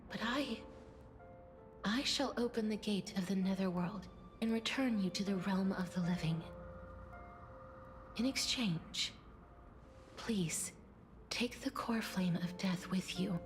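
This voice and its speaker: Soft, solemn woman acting a young death demigoddess. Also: medium pitch, lightly acted. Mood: solemn, resolute. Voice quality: soft, breathy, whispery. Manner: measured, solemn, tender. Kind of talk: dramatic video game voice acting. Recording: music under the voice.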